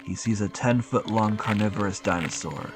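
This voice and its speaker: A man's voice: on the low side, normal speed. A man narrating a scripted audio drama as its storyteller. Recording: loud music behind the narration. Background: loud music.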